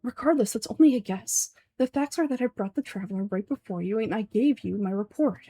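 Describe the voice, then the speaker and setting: A woman voicing a calm, self-assured young male scholar from a game, measured and pointed in delivery. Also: a little high, no room sound. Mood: coolly dismissive. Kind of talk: amateur voice-over of game dialogue.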